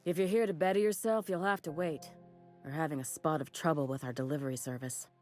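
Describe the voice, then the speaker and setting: An actress playing a brisk, no-nonsense space station trader in a video game, wry, businesslike and faintly exasperated. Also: female voice, deep voice, fairly even intonation, darkish tone, soft background music, natural voice. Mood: wry exasperation. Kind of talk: video game dialogue acting.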